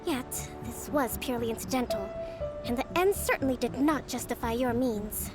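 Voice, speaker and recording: A woman voicing a calm, high young strategist. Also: natural voice. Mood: reproachful. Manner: soft-spoken, measured, polite. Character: calm young female strategist, gentle but shrewd. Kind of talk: video game dialogue. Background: game music.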